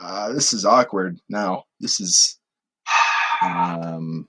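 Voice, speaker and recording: A woman's voice: extremely low pitch, very slow, a bit bright, a little hiss or hum, low-quality recording. A woman co-hosting a comedy podcast in casual banter, playing the exasperated mediator.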